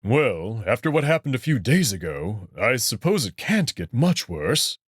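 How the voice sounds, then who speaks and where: A man's voice: medium pitch, very animated, unhurried, slightly rough, dry recording, clean studio sound. A man voicing a confident, teasing and protective alien wolf noble in a visual novel, speaking in an assured, slightly formal way. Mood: coaxing. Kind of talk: visual novel character acting. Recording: music behind the voice.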